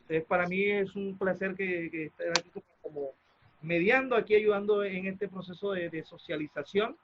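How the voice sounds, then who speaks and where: A man with a Colombian accent moderating an arts presentation, speaking off the cuff in a halting, formal way as he searches for words. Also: high voice, lo-fi sound, background noise. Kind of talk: spontaneous formal event introduction.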